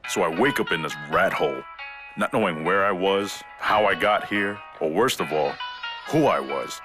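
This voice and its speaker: A man's voice: low-pitched, lively intonation, moderate pace, over music. A man voicing a battered, determined amnesiac wrestler who tells his story in a wry, conversational way for a video game. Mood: rueful. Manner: wry, conversational, self-deprecating. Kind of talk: first-person game cutscene narration.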